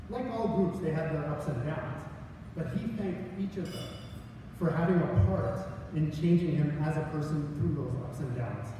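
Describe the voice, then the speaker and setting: A man's voice: a little high, noisy background. A man giving a valedictorian speech at a graduation, earnest with humorous asides. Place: large hall. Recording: echoey hall from far off.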